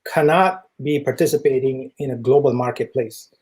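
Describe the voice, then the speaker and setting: Mellow East African man, earnest interview guest. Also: mid-range voice, normal speed, slightly rough. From East Africa, Ethiopia and Kenya. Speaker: interview guest, tech entrepreneur. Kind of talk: explanatory interview talk.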